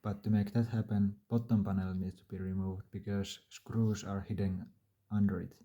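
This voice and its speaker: A man's voice: deep voice, flat delivery, moderate pace, warm tone. A man narrating a do-it-yourself repair tutorial in a plain, matter-of-fact, step-by-step way. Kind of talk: instructional DIY narration.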